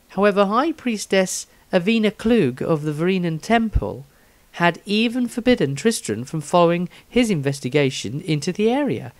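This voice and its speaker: Guy doing a thoughtful adventurer lady reading her diary, kinda high voice, proper and earnest. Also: no echo. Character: intrigued, earnest woman adventurer keeping a diary.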